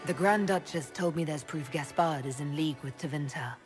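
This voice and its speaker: An actress playing a guarded, dry-witted heroine in a video game, with a cool and direct manner. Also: female voice, low-pitched, brisk, over music, close to an everyday voice. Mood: cool, wry. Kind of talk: video game dialogue acting.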